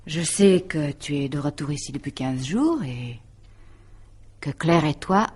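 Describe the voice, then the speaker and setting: An actress playing a calculating, possessive middle-aged woman in a radio mystery drama, speaking in a taunting, deliberate, self-satisfied way. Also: sits low, animated, a little mellow, husky, exaggerated voice. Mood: smugly triumphant.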